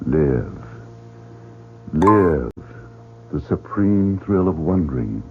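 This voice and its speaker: A man playing a coldly commanding, sinister club director in a theatrical old-time radio mystery, speaking deliberately and savouring suspense. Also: rumbling low, very animated, noisy background, full character voice. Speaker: radio drama actor. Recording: tinny old radio recording, humming.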